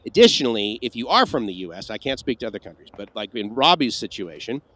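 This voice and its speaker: A man's voice: lowish voice, big pitch swings, quick pace, fairly bright tone, dry recording. A man co-hosting a livestream Q&A, chatting casually and rambling with asides and restarts. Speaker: livestream co-host. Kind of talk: casual Q&A chat.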